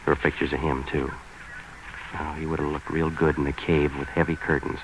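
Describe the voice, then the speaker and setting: An actor playing a cynical, impatient American private detective in 1940s radio noir, clipped and deadpan, on a vintage recording. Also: male voice, a bass voice, fairly quick, slight background noise, poor audio. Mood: deadpan, sardonic.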